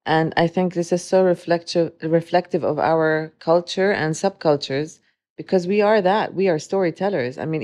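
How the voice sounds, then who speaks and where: Woman, forties, low voice, reflective, hesitant restarts, interview guest. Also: a little mellow. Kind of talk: conversational interview.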